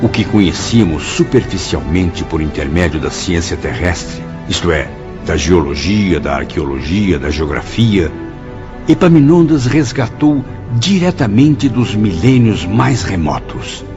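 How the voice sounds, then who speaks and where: Humbled spirit narrator: fervent radio drama, lowish, slightly dull sound over music. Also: male voice, sing-song, a little slow, heavily acted. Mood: awed. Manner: literary, fervent, reverent. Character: humbled, awestruck male spirit recounting his apprenticeship. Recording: dull audio with music bed.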